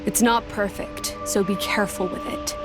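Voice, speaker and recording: A woman's voice: mid-range voice, fairly even intonation, normal speed, close to an everyday voice. An actress playing a proud, blunt young woman warrior with hidden hurt in a video game cutscene. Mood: grave. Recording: music behind the voice.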